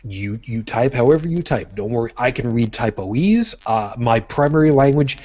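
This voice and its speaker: A man's voice: mid-range voice, animated, moderate pace, darkish tone, no echo, lo-fi sound. A man from co-hosting a live show in casual chat, blunt and profane. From Brooklyn, New York. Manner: blunt, jokey, profane, with many restarts. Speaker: podcast co-host.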